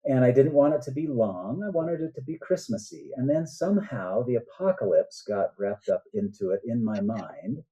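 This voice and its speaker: A man's voice: mid-range voice, animated, normal speed, hardly any pauses, dark tone, muffled recording. An older man chatting casually as a guest author, rambling a little and often checking in with "right?". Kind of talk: casual interview chat.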